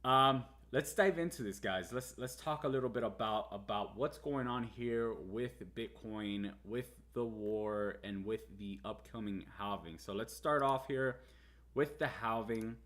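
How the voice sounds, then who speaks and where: A man's voice: on the low side, measured pace, fairly bright tone. A man from the United States whose first language was Spanish, casually talking viewers through crypto markets on a livestream, with many fillers and restarts. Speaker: livestream host. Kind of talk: casual live market commentary.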